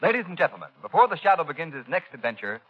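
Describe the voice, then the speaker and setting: A man's voice: fairly high pitch, sing-song, very fast, husky, faint music behind. A man announcing in formal, emphatic, projected 1930s radio style. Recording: muffled 1930s radio broadcast recording.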